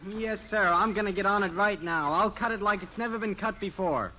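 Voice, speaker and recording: A man's voice: high voice, a little fast, bright, forceful tone. A man playing an eager, wheedling American teenage son in a 1950s radio sitcom, boyish and earnest. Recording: dull, noisy old radio recording.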